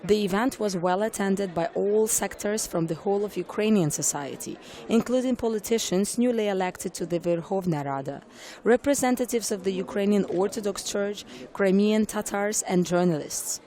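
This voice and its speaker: A woman reporter reads a scripted news report in a clear, formal way. Her voice sits at a mid pitch. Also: normal speed, mellow.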